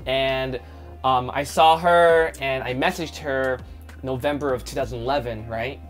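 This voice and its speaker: A man's voice: medium pitch, fairly even intonation, unhurried, music in the background. A young man chatting casually with his wife in a couple's question-and-answer video, easygoing and rambling with lots of filler words. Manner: easygoing, chatty, full of "like" and "you know". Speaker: co-host of a couple's channel. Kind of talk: casual Q&A chat.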